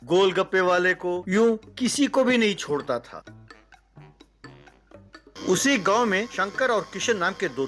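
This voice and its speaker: A man's voice: high voice, fairly bright tone. A man reading a scripted story as its narrator.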